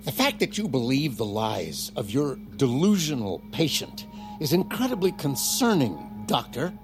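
An actor playing an impatient, sarcastic and commanding army corporal in an audio drama, with a clipped, threatening delivery. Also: male voice, medium pitch, big pitch swings, a little slow, over music. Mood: angry, affronted.

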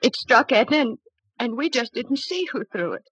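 A woman's voice: a little high, moderate pace, slightly rough, no echo, full character voice, poor audio. An actress playing an anxious, defiant young working woman in a melodramatic old-time radio drama. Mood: nervous, earnest. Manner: excitable, emphatic.

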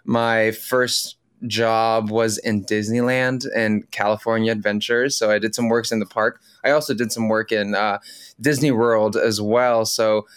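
A man's voice: on the low side, clean tone, dry recording, clean studio sound. A man from the Philippines whose first language is Tagalog, chatting as a guest on an interview podcast, friendly and full of restarts. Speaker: podcast guest, Broadway performer. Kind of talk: casual interview.

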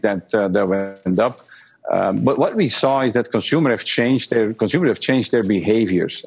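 Low-voiced executive guest on dull audio. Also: male voice, a little mellow, breathy edge. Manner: measured, with frequent restarts and repeated words. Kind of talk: interview answers.

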